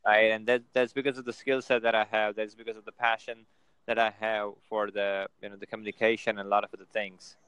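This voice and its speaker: A man's voice: on the low side, little pitch movement, fast, fairly bright tone, clear, resonant tone, no echo, rough recording. A man giving casual motivational advice on a video call, filling his talk with "you know" and "right?". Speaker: guest.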